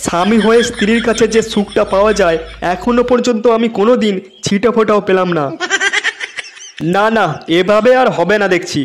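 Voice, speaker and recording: A man voicing a henpecked, lecherous, whining Bengali husband in a comic cartoon, with an artificially high voice. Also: a little fast, slight background noise, lightly acted. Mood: grumbling. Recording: music behind the voice.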